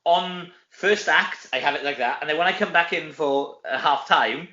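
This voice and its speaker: Bright-voiced Welsh man, echoey dull recording. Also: on the high side, normal speed. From Wales. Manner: animated, self-deprecating joking. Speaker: actor being interviewed. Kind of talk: casual chat interview. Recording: dull, echoey room sound.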